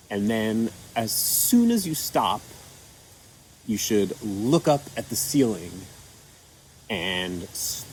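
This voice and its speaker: A man gently walking children through an activity with patient, step-by-step instructions and a few fillers. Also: fairly low pitch, a little hiss or hum, low-quality recording. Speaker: children's storytime presenter. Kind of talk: guiding kids through an activity.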